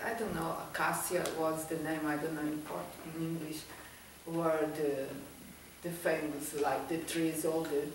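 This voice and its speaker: A woman's voice: low voice, level tone, unhurried. Woman, from Angola, testimony guest, searches for words, distant, very echoey room. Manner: warm, laughs often, searches for English words. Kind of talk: personal testimony interview. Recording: echoey room, distant mic, faint noise.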